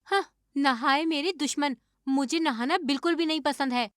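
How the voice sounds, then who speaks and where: A woman playing an evasive, stubborn young daughter-in-law who acts innocent in an animated moral story. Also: sits high, moderate pace, no room sound, clean studio sound, lightly acted. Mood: defiant, annoyed. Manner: defensive, feigning innocence.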